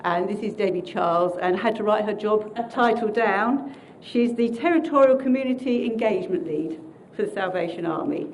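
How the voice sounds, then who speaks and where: A woman who is a Salvation Army minister, giving a friendly presentation to a council meeting from prepared notes in her loud voice. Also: medium pitch, moderate pace, few pauses, a little hiss or hum.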